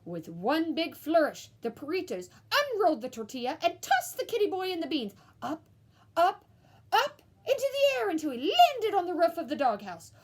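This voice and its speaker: A woman teacher reading a picture book aloud to young children in a theatrical way, with hushed asides. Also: very high voice, huge pitch swings, normal speed. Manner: theatrical, dropping into whispered asides to young listeners.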